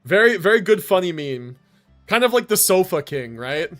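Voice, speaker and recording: Animated, higher-voiced male game streamer. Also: moderate pace, faint music behind. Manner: slangy, profane, chatty. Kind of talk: casual livestream chat.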